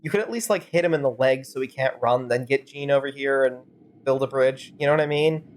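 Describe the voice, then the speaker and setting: A woman's voice: rumbling low, fairly quick, darkish tone, slight background noise. A woman streamer improvising rambling, sarcastic commentary and role-play while playing a game. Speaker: streamer fan-dubbing a game.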